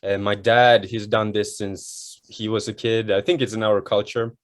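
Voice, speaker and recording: Young man, Swedish accent, low-pitched voice, dry close sound, relaxed chatty guest. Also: normal speed, no room sound. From Sweden. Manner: relaxed, chatty, many fillers and restarts. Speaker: guest, model and home renovator. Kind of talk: casual interview chat.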